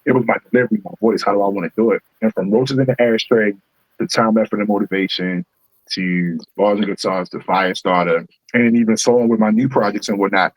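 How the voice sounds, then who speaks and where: A man's voice: steady tone, a little fast, no echo, lo-fi sound. A young adult man with a Baltimore accent, talking animatedly and with heavy profanity as a rapper guest on a podcast. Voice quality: fairly high voice for his size. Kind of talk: casual podcast conversation.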